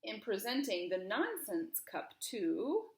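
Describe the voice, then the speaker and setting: A grand storybook king, as a woman reader puts him on, addresses a crowd with ceremony. The delivery is loud and lively, with big swings of pitch, in an echoing room. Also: slow pace. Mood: ceremonious. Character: grand male king making a public award announcement.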